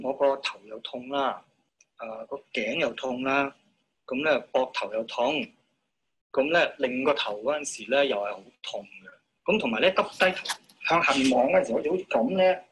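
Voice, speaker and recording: A grumbling, aggrieved witness on the stand, played by a man, listing his aches in a husky, rough-edged voice. He talks at length and sounds hard done by, as in a courtroom training film. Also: mid-range voice, a bit bright, poor audio. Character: injured male witness, complaining and aggrieved. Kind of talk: courtroom training video role-play.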